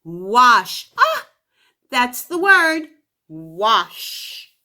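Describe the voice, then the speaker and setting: Very slow, bright woman teaching phonics. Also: a little high, very animated. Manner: encouraging, with praise and questions to pupils; sounds out letters and words with exaggerated articulation. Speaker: teacher of young children. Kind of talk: phonics lesson addressed to children.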